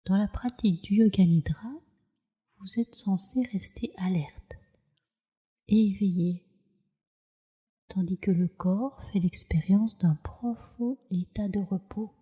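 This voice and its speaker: A woman guides a relaxation practice in a soothing, soft voice. Her tone is deeply mellow and warm, at a medium pitch. She takes her time, with slow, measured phrases that trail off breathily. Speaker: yoga nidra teacher, meditation guide.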